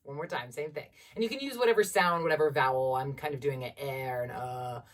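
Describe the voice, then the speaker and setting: A young woman with a generally low voice, teaching a beginner singing lesson from a keyboard at home and encouraging students as she explains. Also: quick pace, distant mic. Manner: encouraging, demonstrates vocal sounds between explanations. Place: small room at home, seated at a keyboard.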